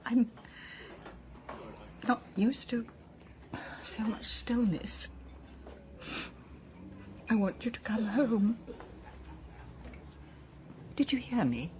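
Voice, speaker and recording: An actress playing a lonely older wife who stammers and halts through tearful talk in a radio drama. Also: female voice, fairly high pitch, extremely slow, pauses often, darkish tone, slight background noise.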